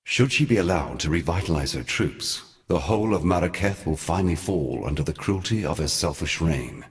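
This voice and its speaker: An actor voicing an ancient, weary and scornful god, delivering grave, formal storytelling for a video game in a measured, theatrical way. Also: male voice, deep voice, expressive. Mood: grave, brooding. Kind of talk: scripted dramatic video game narration.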